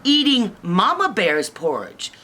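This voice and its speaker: A woman's voice: mid-range voice, wildly swooping pitch, slow pace, bright, forceful tone, voice pushed up, heavily acted. A woman voicing an offended mother bear in a children's story, huffy and emphatic.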